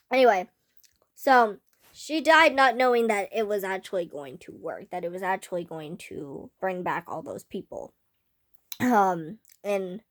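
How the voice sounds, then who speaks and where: A teenage girl ranting casually about a film to camera, rambling with many fillers, her voice slightly hoarse. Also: a little high. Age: teens. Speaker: vlogger. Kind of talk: casual fan rant to camera.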